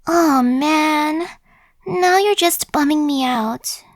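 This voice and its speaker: A woman's voice: high voice, slow pace, dry recording, clean studio sound. A woman playing a chatty, sly young female zombie in an ASMR roleplay, talking in a slangy, cajoling, buddy-like way. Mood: coaxing. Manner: casual slangy wheedling, buddy-like.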